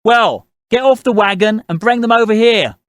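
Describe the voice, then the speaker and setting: Male narrator as shrewd moneyed patriarch in a storybook, raised pitch, courteous but commanding, dry close sound. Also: moderate pace, fairly bright tone, dry recording. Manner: polite, commanding, businesslike. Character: assertive gentlemanly father, a shrewd, moneyed family patriarch. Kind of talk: audiobook character dialogue.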